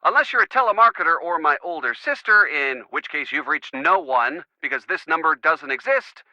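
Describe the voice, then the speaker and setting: A man's voice: a little high, animated, normal speed, bright, forceful tone, no room sound. A man voicing a playful, upbeat young man over the telephone in a video game. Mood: jokey. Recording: muffled telephone line.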